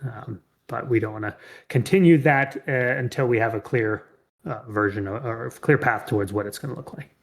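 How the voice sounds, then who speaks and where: A man's voice: mid-range voice, normal speed. A man co-hosting a community call, explaining plainly with a few fillers. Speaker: co-host. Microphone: headset with noise cancellation.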